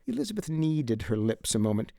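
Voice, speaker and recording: A man's voice: mid-range voice, very animated, a little fast, vocal fry, warm tone, dry recording, clean studio sound. A man reading scripted literary narration as an audiobook narrator.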